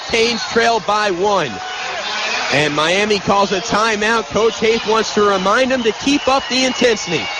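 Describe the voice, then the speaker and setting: A man doing live radio basketball play-by-play as the announcer, in excitable, punchy, clipped phrases. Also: high-pitched. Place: courtside in an indoor arena. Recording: loud arena noise behind. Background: loud arena crowd noise.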